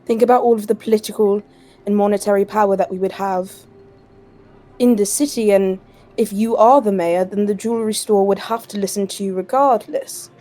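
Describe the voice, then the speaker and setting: Female tabletop player, dark warm timbre, persuasive and logical. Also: mid-range voice, fairly even intonation, fairly quick, soft background music. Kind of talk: in-character tabletop role-play discussion.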